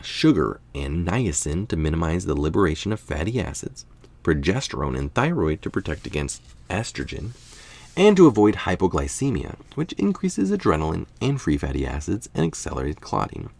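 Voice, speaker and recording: A man's voice: sits low, big pitch swings, normal speed, creaky, darkish tone. A man reading a science article aloud, informally and musingly, with casual asides and the odd stumble over technical words. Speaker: article reader and commentator.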